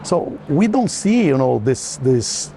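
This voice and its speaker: A man's voice: medium pitch, very animated, slow, dark tone, low-quality recording. A man in an unscripted, reflective conversation about art, speaking as a guest on a tour. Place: walking through an art fair. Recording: clear background noise of busy fair.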